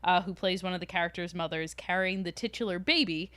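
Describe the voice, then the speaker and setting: A woman's voice: on the low side, quick pace, bright, forceful tone, no room sound. Woman podcaster chatting, very dry recording. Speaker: podcast co-host. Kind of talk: casual chat, telling film legends.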